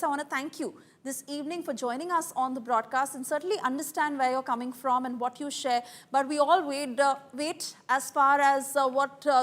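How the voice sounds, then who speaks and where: High-pitched Indian woman anchoring live news. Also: a bit bright.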